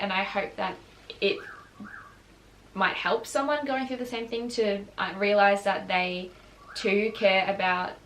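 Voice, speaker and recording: A young woman speaking candidly to camera in a personal vlog, earnest and confessional, often pausing and restarting her sentences. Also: medium pitch, rough recording. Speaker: vlogger and runner.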